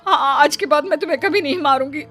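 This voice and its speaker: A woman's voice: sits high, fast, pushed and bright, faint music behind. A woman playing a scheming, easily flustered stepmother in a Hindi cartoon, with melodramatic delivery. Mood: tearful pleading. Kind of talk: Hindi cartoon story dialogue.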